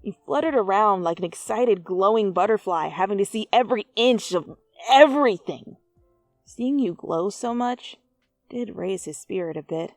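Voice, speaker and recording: A woman giving an expressive, theatrical dramatic reading of fan fiction as narrator. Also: mid-range voice, normal speed, no room sound. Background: background music.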